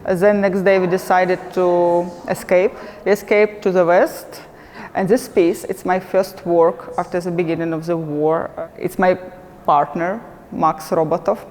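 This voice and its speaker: A woman with a Ukrainian accent gives an interview in halting English. Her voice sits slightly low and moves very slowly. The room rings with long reverb over faint noise. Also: fairly even intonation, darkish tone. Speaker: artist. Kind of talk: documentary interview.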